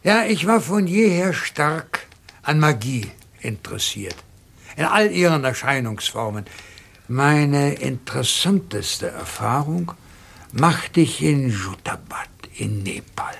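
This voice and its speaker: Older eccentric colonel, radio crime drama: highish swooping voice, genial, sly, deliberate, theatrical. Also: male voice, expressive, vocal fry, fairly bright tone, breathy edge, full character voice. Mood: boastful, storytelling. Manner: theatrical, deliberate, savouring his words. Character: older eccentric colonel, genial, boastful, scheming country-house host.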